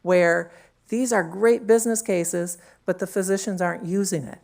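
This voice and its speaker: A woman with a deep, low voice talks to a conference room through a handheld mic. She is chatty and loose, with frequent fillers and questions tagged onto her points. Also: fairly even intonation, moderate pace, creaky, darkish tone. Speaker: conference panel speaker. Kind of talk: conference panel talk.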